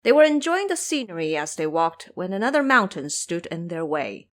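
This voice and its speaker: A woman narrating a scripted audio drama in a theatrical, deliberate storytelling manner. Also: on the low side, sing-song, a bit bright.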